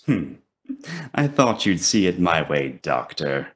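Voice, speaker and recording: A man playing a commanding, gloating villain in an audio drama, speaking deliberately with smug menace. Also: rumbling low, very animated, noisy background, heavily acted. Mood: smug, toying.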